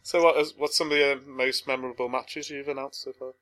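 A man's voice: mid-range voice, flat delivery, brisk, fairly bright tone. A man hosting a casual interview, asking easygoing questions and laughing along. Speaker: podcast host, interviewer.

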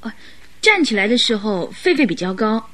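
一位女演员在广播剧中饰演困惑而有礼的年轻女委托人，说话认真谨慎，带有戏剧腔。. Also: female voice, a little high, sing-song, moderate pace, pushed and bright. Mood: earnest. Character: puzzled, polite young lady client of detective. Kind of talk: Chinese radio drama acting.

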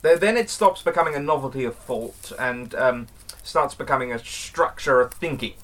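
A man playing an earnest, cryptic occult investigator and mentor in an audio drama, explaining things with slightly awkward, halting restarts. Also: mid-range voice, a bit bright, natural voice.

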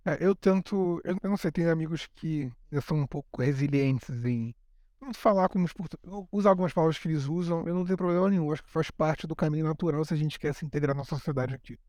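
A man's voice: a little high, quick pace, darkish tone, no echo. A man from Brazil with a Brazilian accent chats casually as a podcast co-host. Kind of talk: casual chat.

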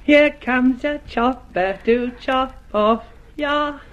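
An actor playing an eerie, mocking voice reciting slowly in a taunting singsong in a radio drama. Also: male voice, very high voice. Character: eerie, mocking voice reciting a sinister nursery rhyme. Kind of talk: old radio drama acting. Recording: muffled, noisy old recording.

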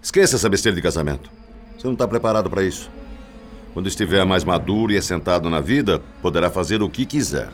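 A man's voice: deep voice, expressive, quick pace, soft background music. A male actor playing a cold, stern middle-aged Brazilian father who scolds and gives orders in a radio soap opera. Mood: stern, commanding.

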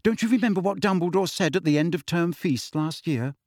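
A man voicing a bossy, earnest teenage schoolgirl in an audiobook, crisp and insistent, with dignity. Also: sits high, fairly quick, darkish tone, no echo, clean studio sound, pitched above the performer's own voice.

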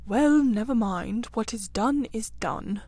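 A woman's voice: a little high, slow pace, dark tone, no room sound. A woman voicing a grumpy, literal-minded male mole in a storybook, with a plaintive, pettish, stubbornly matter-of-fact manner. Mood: aggrieved, impatient.

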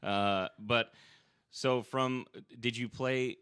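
A man's voice: medium pitch, steady tone, very slow, fairly bright tone, smooth, dry recording, studio quality. A young man hosting a podcast interview, chatting casually and rambling with lots of fillers and restarts. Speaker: podcast host.

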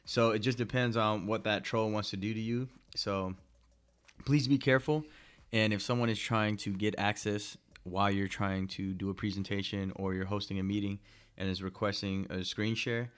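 A man with a low voice co-hosts an easygoing tech podcast. He talks straight to the listeners in a chatty way and likes to tease his partner. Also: clean tone. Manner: chatty and teasing, addresses listeners directly. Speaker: podcast co-host. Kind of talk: casual tech news podcast chat.

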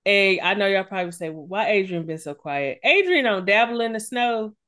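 A woman's voice: mid-range voice, lively intonation, a little fast, fairly bright tone. A woman co-hosting a casual gossip podcast, blunt and emphatic, with plenty of swearing. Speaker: podcast co-host.

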